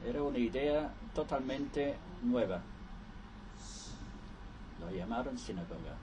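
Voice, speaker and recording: A man voices a live interpretation of conference speeches, moving slowly and often breaking off to restart. His pitch sits at an ordinary middle. Clear background noise with traffic runs under the recording. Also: expressive. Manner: halting, with frequent restarts.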